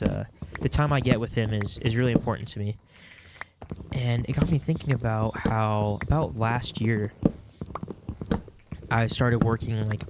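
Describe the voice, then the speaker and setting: A man's voice: on the low side, very noisy. A young man with a stuffy nose, chatting casually and rambling while he plays a game. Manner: rambling, with many fillers. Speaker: Minecraft vlogger. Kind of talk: casual chat while gaming.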